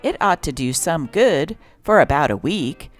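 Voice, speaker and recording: A woman's voice: deep voice, expressive, a little slow, faint music behind. A woman host and narrator reading old newspaper items and ads aloud.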